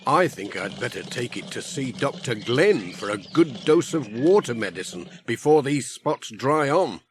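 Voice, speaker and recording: Friendly British postman for a children's audiobook, male actor. Good-humoured, chatty, very expressive, taking his time. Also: medium pitch, a little slow, slight background noise. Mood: amused, kindly. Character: friendly, cheerful village postman.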